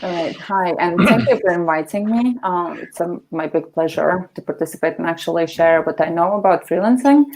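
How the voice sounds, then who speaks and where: Female panelist from Latvia, low register, gravelly fry, informal introduction style. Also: a little fast, few pauses, a little mellow, poor audio. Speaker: panelist, freelance designer. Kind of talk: casual self-introduction on a panel. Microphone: video call.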